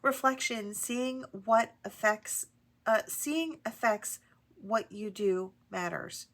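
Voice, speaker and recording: A woman with a stuffy nose from allergies, gently giving a channeled tarot reading full of affirmations, often pausing with "um" and "right?". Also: a little high. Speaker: tarot reader. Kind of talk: channeled oracle reading to camera.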